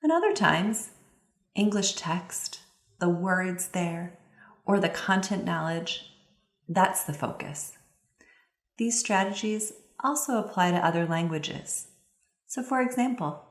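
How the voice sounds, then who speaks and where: Echoey, low-pitched woman giving expressive instruction. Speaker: instructor, literacy expert giving advice to parents. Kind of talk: instructional talk with expressive read-aloud examples.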